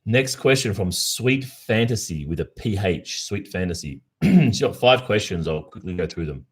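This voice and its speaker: A man's voice: lowish voice, big pitch swings, normal speed, slightly rough. An Australian man hosting a casual livestream Q&A, chatting informally and reflectively. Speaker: ASMR roleplay creator and livestream host.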